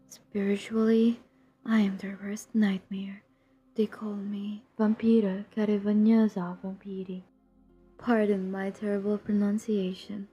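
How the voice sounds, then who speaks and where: A woman playing a centuries-old vampire who hunts her own kind in an audio roleplay, cold, menacing and drily teasing, in a deliberate half-whisper. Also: mid-range voice, warm tone, lo-fi sound. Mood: proud, ominous. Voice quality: breathy, husky, half-whispered. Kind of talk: intimate scripted audio roleplay.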